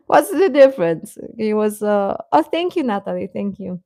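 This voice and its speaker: A woman's voice: medium pitch, sing-song, unhurried, warm tone, no room sound. Female livestream host, mid-range voice, casual and rambling, giggly delivery, very dry close sound. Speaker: livestream host chatting with viewers. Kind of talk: casual reaction chat.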